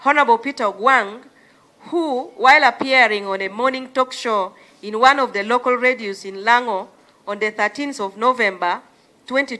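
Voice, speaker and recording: Woman, middle-aged, bright tone, formal statement, desk mic. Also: on the high side, poor audio. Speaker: member of parliament reading a statement. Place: committee room. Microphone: desk gooseneck mic.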